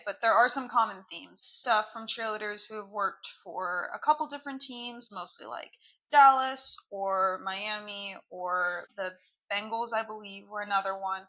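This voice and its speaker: A woman co-hosting a podcast in casual chat, her voice hoarse from cheering, with many "like" fillers. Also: mid-range voice, normal speed, pushed and bright, low-quality recording. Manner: chatty, lots of "like" fillers. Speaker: podcast co-host.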